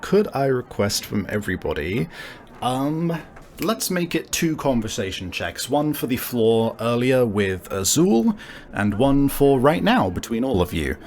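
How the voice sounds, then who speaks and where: A man narrating a tabletop game as game master, improvising haltingly as he searches for words. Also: medium pitch, very animated, darkish tone.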